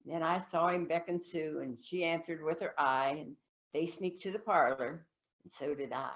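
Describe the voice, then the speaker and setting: A gleeful country kid played by a woman spins a comic tall tale in folksy dialect. The telling is animated and theatrical, like campfire storytelling, on a slightly dull lo-fi recording. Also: low-pitched, normal speed, natural voice. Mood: sly deadpan. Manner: animated folksy dialect recitation with dropped deadpan refrains.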